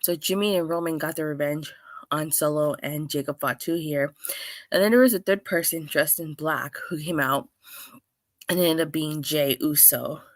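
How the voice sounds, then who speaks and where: Hoarse, low-voiced woman reviewer. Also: normal speed, dry recording. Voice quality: clogged, stuffy voice. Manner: chatty, rambling, with many restarts and fillers. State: hoarse, under the weather. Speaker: wrestling show reviewer, host. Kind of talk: casual solo review talk.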